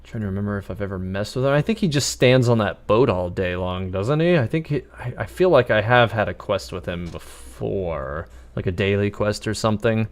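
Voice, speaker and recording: A man's voice: lowish voice, animated, fairly quick, hardly any pauses. A man casually commentating on a live game stream, musing and thinking aloud.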